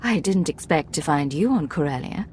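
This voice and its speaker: Cool female spy, lowish voice, crisp, dry, game drama, faint ambience. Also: animated, normal speed, darkish tone, a little hiss or hum. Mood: urgent, composed. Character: cool, self-possessed female imperial secret agent. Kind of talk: video game drama acting.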